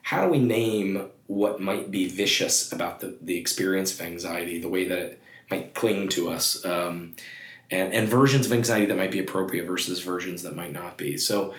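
A man speaking in a halting, thoughtful way about an idea. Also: low-pitched, fairly bright tone. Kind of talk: reflective talk. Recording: echoey room.

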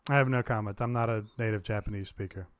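A man's voice: fairly low pitch, steady tone, fast, clear, resonant tone, dry recording. A man chatting casually as a panelist, with a dry, teasing manner. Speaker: panelist on a wrestling review show. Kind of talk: casual chat.

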